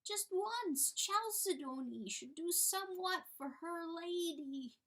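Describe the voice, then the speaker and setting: A woman's voice: extremely high pitch, lively intonation, takes it slowly, a bit bright, voice pushed up, exaggerated voice. A woman reading a storybook, voicing a timid, humble little crippled hen who whines and pleads in old-fashioned country speech. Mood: mournful.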